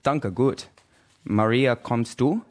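A man in a language class working through simple dialogue lines. He speaks slowly and carefully, pausing as he finds the words, at an ordinary mid pitch. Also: a little mellow. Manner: slow, careful learner speech. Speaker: language learner in a class exercise.

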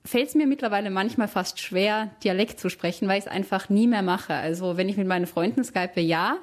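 A woman's voice: medium pitch, moderate pace. A young woman from Tyrol with a light Austrian accent, a German teacher answering interview questions in a chatty way, often correcting herself. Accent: Austrian, Tyrolean-tinged standard German. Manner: chatty, self-correcting, many fillers.

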